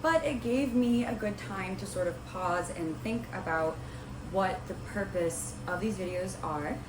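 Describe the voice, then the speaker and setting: A young woman talks to the camera in a casual, rambling way, full of ums and likes. Her voice sits low and is a little raspy from a cold. The room sounds roomy, with clear background noise. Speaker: host of a Bible study video series. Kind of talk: casual talking-to-camera vlog.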